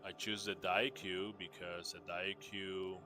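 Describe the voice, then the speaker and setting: Slow, deep-voiced laid-back man. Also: level tone, over music. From Puerto Rico. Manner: rambling, laid-back, many fillers and restarts. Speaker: gamer showing off character builds. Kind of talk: casual game showcase chat.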